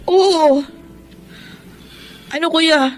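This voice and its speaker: An actress playing an anxious, pleading younger sister in an emotional radio drama. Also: female voice, sits high, very slow, soft background music. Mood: distressed.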